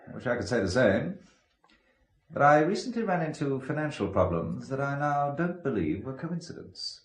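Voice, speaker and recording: A man's voice: mid-range voice, big pitch swings, normal speed. An actor playing a guarded, sardonic English businessman in a radio drama, with an English accent and a clipped, evasive politeness. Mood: wary, suspicious.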